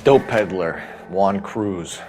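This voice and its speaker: An actor playing a serious 1940s police detective in a video game, speaking in a clipped, businesslike way. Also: male voice, sits low, slow pace, over music. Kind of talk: video game cutscene acting.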